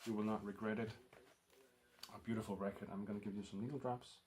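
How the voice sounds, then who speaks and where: A man with a Danish accent talks casually through his record haul, pausing often with ums and uhs. His voice sits a little low. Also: steady tone, darkish tone. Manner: rambling, full of ums and uhs. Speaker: record collector vlogger.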